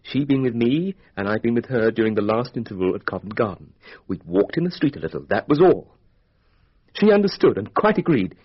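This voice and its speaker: Husky, defensive young English lord. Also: male voice, medium pitch, very animated, brisk. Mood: confiding. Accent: British. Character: young aristocratic murder suspect, defensive and flippant. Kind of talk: radio drama acting. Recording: dull, band-limited radio drama recording.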